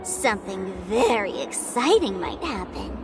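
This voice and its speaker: Sly teasing hostess. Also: female voice, medium pitch, very animated, measured pace. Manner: sly, playful, persuasive. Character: smooth, teasing hostess handing out a gift. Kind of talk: video game voice acting. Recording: game audio with music.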